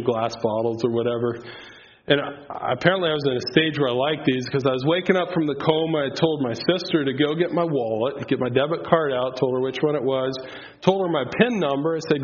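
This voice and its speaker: A man's voice: mid-range voice, a little hiss or hum. A man whose first language is Pennsylvania Dutch, giving a casual, rambling testimony before an audience with lots of filler words. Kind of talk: faith testimony talk. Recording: slightly dull, lo-fi sound.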